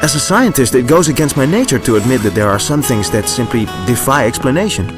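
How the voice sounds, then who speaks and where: Actor as articulate scientist over guitar music. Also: male voice, mid-range voice, lively intonation, quick pace, lightly acted. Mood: reflective. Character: rational, articulate male scientist. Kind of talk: video game cutscene acting.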